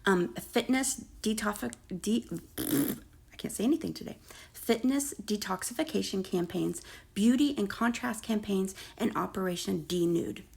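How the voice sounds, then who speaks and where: A woman's voice: mid-range voice, measured pace, a little mellow. A woman losing her voice, reading posts aloud in a casual gossip commentary with sarcastic, mocking asides. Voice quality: creaky, gravelly. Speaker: commentary creator.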